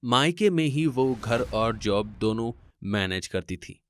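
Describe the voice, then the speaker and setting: A man's voice: mid-range voice, animated, unhurried, a bit bright. A man narrating a scripted family story in a theatrical, rhetorical style.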